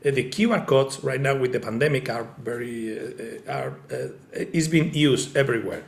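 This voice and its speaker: A man from Spain with a strong Spanish accent giving an online presentation, halting and peppered with "okay". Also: mid-range voice, roomy sound. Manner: halting, fillers like okay and eh, searches for words. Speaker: tech company founder presenting. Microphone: video call.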